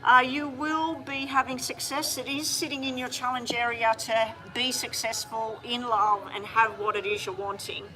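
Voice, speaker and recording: A woman doing a live-streamed tarot reading, talking straight to viewers in a chatty, hurried way. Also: fairly high pitch, fairly even intonation, sharp tone, poor audio. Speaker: tarot reader.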